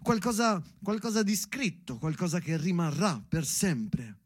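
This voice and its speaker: A man's voice: high-pitched, big pitch swings, a little slow, slightly rough, no echo, studio quality. A man performing a theatrical solo monologue, declamatory and rhythmic, with repeated phrases. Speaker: stage actor performing a solo show. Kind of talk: theatrical monologue addressed to his daughter.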